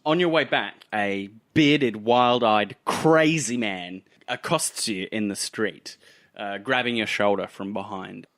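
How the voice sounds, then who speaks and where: A man's voice: on the low side, takes it slowly, a bit bright, no echo, studio quality. A man working as game master, improvising narration for a tabletop role-playing game.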